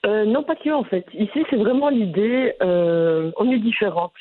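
A woman from Brussels with a Brussels French accent, answering interview questions in an animated, frank way with frequent restarts. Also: medium pitch, normal speed, background noise. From Brussels, Belgium. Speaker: content creator guest. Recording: phone-line audio.

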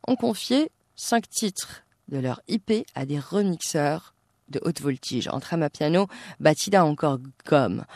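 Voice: medium pitch, dry recording. Polished radio host, dry close sound. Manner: polished, formal presenter delivery. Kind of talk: interview host and show presenter.

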